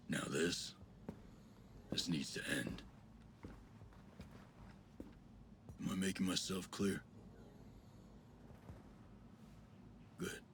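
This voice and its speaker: Very deep-voiced, steady special forces operative. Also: male voice, vocal fry, a little hiss or hum, full character voice. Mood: stern, menacing. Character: steady gruff male special forces operative, quietly threatening. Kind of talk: video game cutscene acting.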